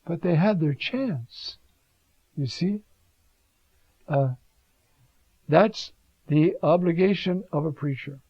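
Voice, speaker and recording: A man's voice: high-pitched, no echo, muffled recording. A man who is a priest, preaching on the Sunday readings in a conversational way, often adding "you see" and "uh" between thoughts.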